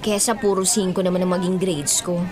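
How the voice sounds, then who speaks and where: A woman's voice: on the low side, little pitch movement, a little fast, a little mellow, close to an everyday voice. A woman playing a heartbroken, remorseful young college student in a Filipino radio melodrama, with a plaintive delivery. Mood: dejected. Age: young adult. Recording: crowd chatter behind the voice.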